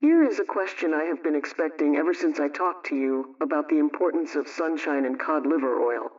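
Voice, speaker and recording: Actress as female homemaking adviser for a vintage radio talk: instructive, measured, practical, with dull, distant sound. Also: sits low, lively intonation, darkish tone. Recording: muffled radio sound, off mic.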